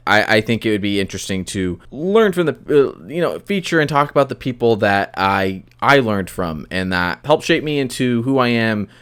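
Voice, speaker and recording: A man's voice: fairly low pitch, fairly bright tone, smooth. Man rambling through a solo podcast monologue. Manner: hesitant and rambling, often repeating and restarting phrases. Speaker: podcast host.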